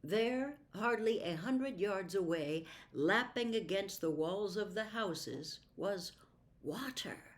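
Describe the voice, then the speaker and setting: A woman narrates a storybook at a very unhurried pace. Her mid-pitched voice delivers each phrase carefully, in the measured manner of an audiobook reader. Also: lively intonation.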